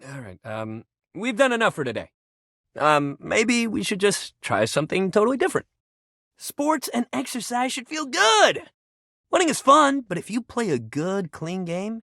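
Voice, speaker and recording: A man's voice: high voice, bright, forceful tone, dry recording, studio quality, exaggerated voice. A man voicing a video game character, a living shuttlecock who is an upbeat, laid-back young sports coach with slangy, encouraging, bro-ish talk. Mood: downcast, hesitant, then warm, encouraging.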